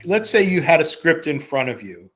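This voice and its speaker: A man's voice: on the high side, very animated, normal speed, fairly bright tone, slightly rough. Man chatting in a reflective way. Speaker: podcast host, playwright and producer. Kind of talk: casual conversational chat.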